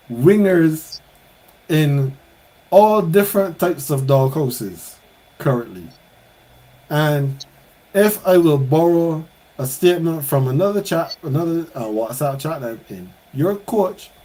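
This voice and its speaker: A man's voice: fairly high pitch, a little mellow, rough recording. A man hosting a podcast, talking sport in a playful, teasing way. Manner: playful, teasing, builds up to questions. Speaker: podcast host. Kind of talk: casual sports chat.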